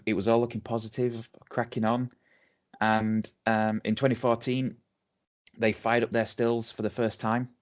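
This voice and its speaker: A man with an English accent, co-hosting a podcast and telling a distillery's story in a rambling, chatty way. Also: lowish voice. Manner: chatty, rambling with many ums and restarts. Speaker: podcast co-host. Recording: dull lo-fi audio.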